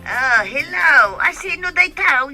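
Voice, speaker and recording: An actress playing a sentimental older aunt in a radio drama, with a husky voice and a Northern Philippine Ilocano accent. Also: female voice, sits high, lively intonation, takes it slowly, music in the background, full character voice. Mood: touched, plaintive.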